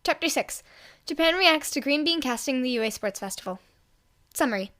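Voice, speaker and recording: A woman narrating fan fiction as an amateur audiobook reader, in an expressive storytelling style. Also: sits high, fairly quick.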